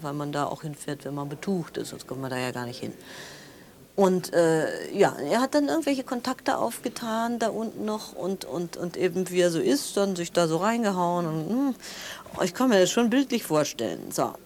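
An actress playing an opinionated sister in a naturalistic audio drama, talking chattily with halting restarts and tag questions. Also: female voice, lowish voice, expressive, a little mellow. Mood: dismissive gossip. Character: animated, opinionated sister of a wealthy man, accusing.

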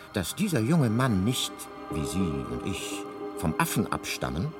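Low-voiced wry storyteller over music. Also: male voice. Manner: deadpan, measured irony. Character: wry, omniscient talking guidebook narrator, male. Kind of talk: scripted radio comedy narration.

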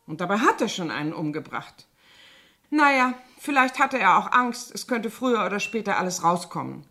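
Naive-seeming, gossiping cleaning woman in a seventies radio drama; raised pitch, swooping intonation, artless dramatic storytelling. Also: bright, forceful tone, exaggerated voice. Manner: chatty, guileless-sounding, dramatic storytelling. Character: gossipy, feignedly naive French cleaning woman, chatty and self-satisfied. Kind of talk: 1970s German radio play acting.

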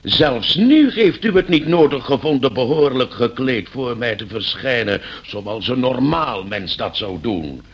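An actor playing a stern, condescending landlord in a radio play, lecturing with pompous mock courtesy. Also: male voice, medium pitch, expressive, a little slow, breathy edge. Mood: stern, indignant. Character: stern, condescending landlord scolding his eccentric tenant.

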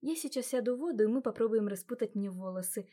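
A woman voicing a modest young heroine who speaks softly and quietly. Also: fairly high pitch, expressive, fast. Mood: calm. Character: gentle modest young heroine, soft-spoken.

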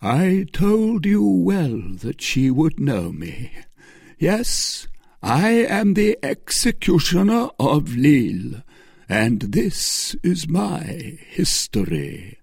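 A cold executioner speaks with a hollow voice and icy calm, as a male reader plays him. Each phrase comes slow and commanding, and the sound is dry. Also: a little high, creaky, slightly rough, no room sound, pitched above the performer's own voice, heavily acted.

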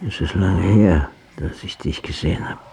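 A dying old father in a radio drama, low and gravelly. He is bitter and full of reproach, his breath sometimes failing. There is faint noise behind him. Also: moderate pace, warm tone. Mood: weak, solemn. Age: older.

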